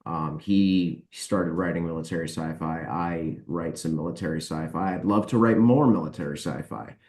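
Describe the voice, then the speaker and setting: A man's voice: low-pitched, normal speed, a little mellow. A man answering interview questions casually as a podcast guest, informal, emphatic and inclined to ramble. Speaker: podcast guest, publisher and author. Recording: roomy, echoing voice recording.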